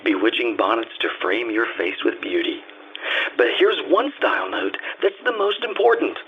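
Old radio ad guy, emphatic sales pitch, super animated, tinny phone-like sound. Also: normal speed, creaky. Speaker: commercial announcer. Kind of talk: old-time radio cosmetics advertisement, scripted. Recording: very tinny band-limited audio with noise.

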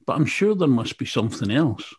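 A man's voice: mid-range voice, big pitch swings, a little fast, a little mellow, breathy edge. A man with a Glasgow Scottish accent, a presenter chatting casually with an author, with plenty of ums and restarts. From Scotland, Glasgow. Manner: chatty, many fillers and restarts. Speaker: interviewer, radio presenter. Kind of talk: casual interview chat.

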